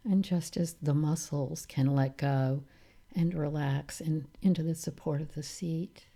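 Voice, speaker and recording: Woman meditation teacher, real slow n low, very soft dark tone, bit of creak, calm w long pauses. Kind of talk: guided meditation.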